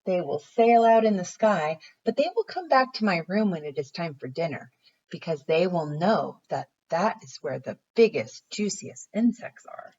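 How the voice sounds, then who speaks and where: A woman's voice: fairly low pitch, lively intonation, normal speed, a little mellow, husky, muffled recording. A woman voicing an eager, imaginative young boy while reading a bedtime story aloud. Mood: dreamy, assured. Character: young boy, eager, imaginative, earnest about his plans.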